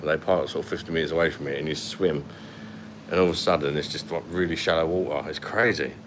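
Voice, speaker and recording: A man's voice: extremely low pitch, steady tone, fast talker, background noise. A man in his thirties with a London accent, hosting a casual livestream from a hotel room at night on a phone held at arm's length, blunt and sweary as he reads out comments. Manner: blunt and sweary, laughs often. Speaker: livestream host. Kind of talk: casual live chat reading comments.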